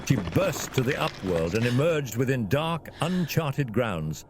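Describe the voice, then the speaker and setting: A man's voice: mid-range voice, big pitch swings, unhurried, a little hiss or hum. A man narrating a fantasy story in a dramatic, theatrical and suspenseful scripted style.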